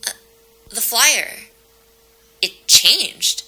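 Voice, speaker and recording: A woman's voice: a little high, lively intonation, extremely slow, bright, forceful tone, husky, full character voice, low-quality recording. A woman playing a nervous, rambling, frightened client haunted by a scarecrow in a naturalistic audio drama. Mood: nervous, alarmed. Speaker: actor in an audio play.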